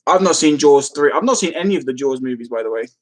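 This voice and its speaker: A man's voice: mid-range voice, lively intonation, quick pace. Giggly man chatting on a live stream. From London, England. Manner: rambling, easily amused, giggly. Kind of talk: casual chat, answering live comments.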